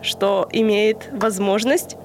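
A woman in her early twenties co-hosting a podcast, explaining a topic in a measured, lecture-like way with occasional hesitations. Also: mid-range voice, a little hiss or hum. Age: early 20s. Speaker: podcast co-host presenting the theory segment. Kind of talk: explaining from prepared notes, then casual chat.

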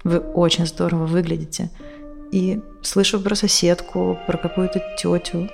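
A woman playing a calm, attentive psychotherapist in an audio drama, asking questions in a gentle, measured way. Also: fairly low pitch, gravelly. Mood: gentle, probing. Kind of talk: naturalistic audio drama, therapy session dialogue. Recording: music behind the voice. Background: music.

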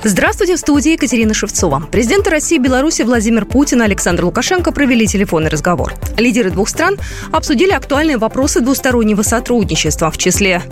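Woman, news presenter, highly varied intonation, quick pace, formal scripted reading, music bed. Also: big pitch swings.

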